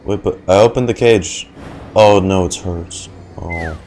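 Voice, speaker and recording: A man doing casual reaction commentary over a video game, expressive and exclamatory. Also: low-pitched, unhurried, a little hiss or hum.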